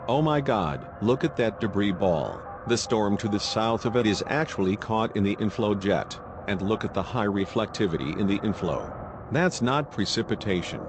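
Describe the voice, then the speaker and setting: A man's voice: lowish voice, noisy background, natural voice. A man playing a TV weatherman, grave, urgent and alarmed as he gives a live tornado emergency broadcast. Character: urgent male TV weatherman during a deadly tornado. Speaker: TV meteorologist.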